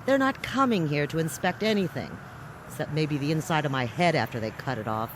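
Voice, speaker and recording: Brash outpost boss woman, animated, noisy backdrop. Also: sits low, fairly quick. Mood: wry, suspicious. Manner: tough, slangy, blunt. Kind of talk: science fiction radio drama acting.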